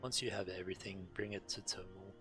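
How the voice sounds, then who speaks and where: A man's voice: deep voice, steady tone, quick pace, over music. A man reading game dialogue aloud on a stream, now and then stumbling over words.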